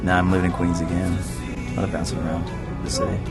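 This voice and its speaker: Soft-spoken man telling a story. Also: low voice, completely flat delivery, fast talker, over loud music. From New York City. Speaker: band member. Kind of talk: casual interview storytelling.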